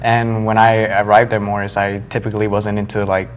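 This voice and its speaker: A nineteen-year-old man from Guatemala, a high school senior, answering interview questions politely. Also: level tone, fairly quick. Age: young adult. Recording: faint background noise.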